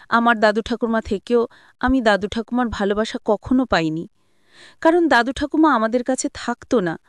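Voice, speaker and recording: Woman acting a high-voiced, earnest young daughter. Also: clear, resonant tone, no echo, clean studio sound, natural voice. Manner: earnest, reasoning. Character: principled young married daughter and mother, gently firm, wistful.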